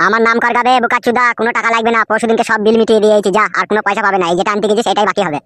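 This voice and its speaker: A woman's voice: a little high, flat delivery, racing, fairly bright tone, no room sound, clean studio sound, lightly acted. A woman voicing a scheming, foul-mouthed young male troublemaker in a comedy cartoon, slangy and bossy. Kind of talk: comic cartoon dialogue. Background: music.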